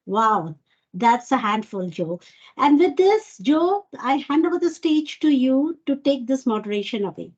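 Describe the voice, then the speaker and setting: A woman hosting an online event, thanking and introducing speakers in a husky voice. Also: sits high, big pitch swings, unhurried, a little mellow. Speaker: event host. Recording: muffled lo-fi video call.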